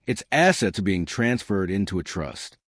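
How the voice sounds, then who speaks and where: A man voicing a calm mafia boss who speaks quietly and never raises his voice. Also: sits low, moderate pace, no room sound, clean studio sound. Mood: cold.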